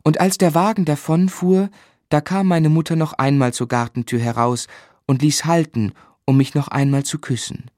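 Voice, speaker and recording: A man playing a gentle narrator fondly recalling his boyhood in measured, literary radio drama narration. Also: a little high, fairly even intonation, no echo, studio quality, natural voice. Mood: fond, reflective. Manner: measured, reflective.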